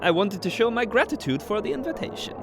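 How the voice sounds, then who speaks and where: A man's voice: high-pitched, expressive, fast talker, crowd noise. A man playing a sly, teasing trickster god in an audio drama, speaking with mock courtesy and formal, mischievous phrasing. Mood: smooth, courtly.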